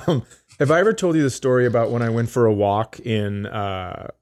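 A man's voice: mid-range voice, lively intonation, normal speed, darkish tone. A man co-hosting a comedy podcast, chatting casually in a dry, hesitant way.

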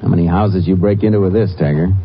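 An actor playing a calm, tough Texas Ranger lawman, laconic and commanding, in a 1950s western radio drama. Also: sits low, quick pace, a little hiss or hum, lightly acted. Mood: dry, taunting. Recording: muffled vintage radio recording with music.

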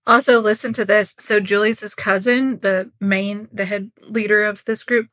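A woman co-hosts a true crime podcast in a casual, chatty storytelling style. Her voice sits at a middling pitch and is recorded close and dry. She slips into quoted lines as she tells the story. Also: steady tone, unhurried, fairly bright tone, no room sound. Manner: informal, conversational storytelling with acted-out quotes. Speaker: podcast co-host.

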